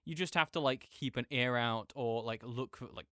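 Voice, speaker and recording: A man's voice: medium pitch, a bit bright, no room sound, close to an everyday voice. Guy playing ur nerdy roommate on a rambly gamer rant, keeps correcting himself, dry close sound. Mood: explaining, griping. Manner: rambling, self-correcting, halting, apologetic asides. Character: opinionated gamer roommate ranting good-naturedly about games. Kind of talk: rambling casual rant, sleep-aid audio roleplay.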